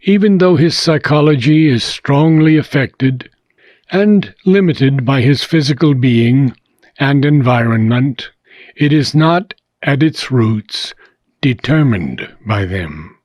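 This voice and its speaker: A man reading philosophical prose aloud in a measured, deliberate way with frequent pauses. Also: mid-range voice, expressive, a little mellow, no room sound. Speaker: reader of a philosophical book.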